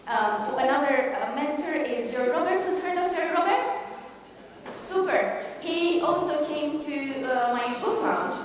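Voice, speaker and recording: A woman's voice: high-pitched, animated, unhurried. A woman in her forties from Romania, with a Romanian accent, giving an emphatic motivational business talk and rallying the audience with questions. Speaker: business speaker. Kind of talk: motivational stage presentation. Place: large conference hall. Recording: echoey hall from far off.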